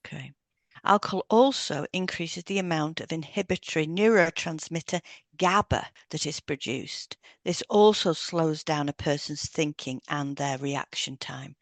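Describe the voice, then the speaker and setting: Lowish-voiced woman reading an educational podcast. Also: measured pace, no echo. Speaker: podcast co-host, hypnotherapist. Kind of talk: scripted podcast narration, read aloud.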